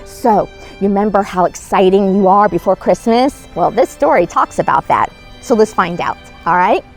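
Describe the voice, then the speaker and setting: A woman's voice: mid-range voice, animated, moderate pace, close to an everyday voice, poor audio. Lady playing kindly santa's wife reading storybook to kids, super swoopy voice, lots of oohs, music in back. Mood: wondering, excited. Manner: exclamatory, wondering, gasps and oohs. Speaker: children's storyteller. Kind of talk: animated storybook reading to children.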